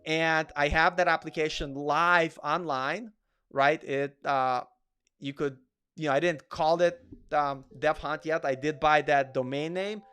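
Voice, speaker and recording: A man's voice: fairly high pitch, fairly even intonation, a little slow, pushed and bright, clean tone. Chatty man with a slight Russian accent. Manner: chatty, reads out chat comments, repeats himself. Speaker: livestream host, developer. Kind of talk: casual live Q&A.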